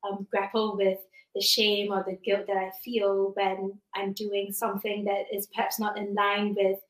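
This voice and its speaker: Female panelist, earnest, even-toned; sits back from the mic in a roomy space. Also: mid-range voice, moderate pace, far from the mic. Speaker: panel guest. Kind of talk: earnest reflection.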